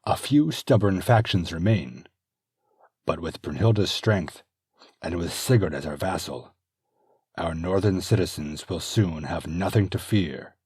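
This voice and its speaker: A man's voice: low voice, lively intonation, a little slow, darkish tone, husky, dry recording, heavily acted. A man voicing an earnest, anxious Roman general who speaks with urgency.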